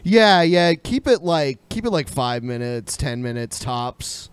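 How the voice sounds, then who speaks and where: A man speaks in a clear, slightly high voice with a very animated melody. He rambles informally, as in casual podcast banter. The sound is dry and close, with little room around it. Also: measured pace, no echo. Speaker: podcast co-host.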